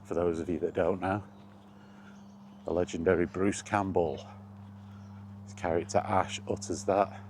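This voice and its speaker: A man's voice: deep voice, steady tone, measured pace, pauses often, darkish tone, slight background noise. A British man giving a rambling, casual vlog chat in a blunt, opinionated manner. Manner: opinionated, blunt, mildly sweary. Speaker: video blogger.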